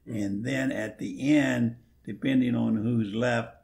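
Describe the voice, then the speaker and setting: A middle-aged man with an extremely creaky, gravelly voice at an average pitch. He speaks as a guest, casually offering his impressions in conversation. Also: fairly even intonation, takes it slowly, darkish tone. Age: middle-aged or older. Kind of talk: casual chat.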